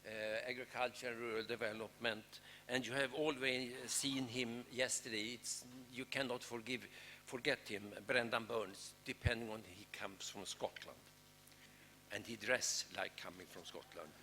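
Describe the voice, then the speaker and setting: Man as conference moderator, bright tone, hesitant with many ums, reverberant hall. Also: medium pitch, a little hiss or hum. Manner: halting, many fillers, searches for names. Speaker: event moderator. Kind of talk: welcoming speech at a conference.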